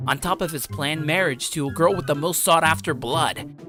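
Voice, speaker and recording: A man's voice: fairly high pitch, moderate pace, music in the background. A man narrating as host, reading a scripted first-person story and promotional copy.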